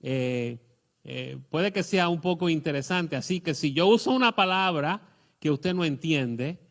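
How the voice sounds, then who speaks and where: A middle-aged man with a Puerto Rican accent preaching a sermon, pausing often with fillers and restarts. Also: a little high, animated. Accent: Puerto Rican Spanish. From Puerto Rico. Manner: hesitant, many fillers and restarts. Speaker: pastor.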